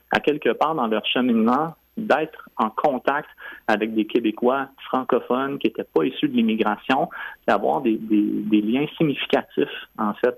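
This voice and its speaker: Gravelly Quebec man explaining on radio. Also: medium pitch, no room sound, rough recording. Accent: Quebec French. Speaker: guest, French-language commissioner. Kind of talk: radio interview, explanatory answers.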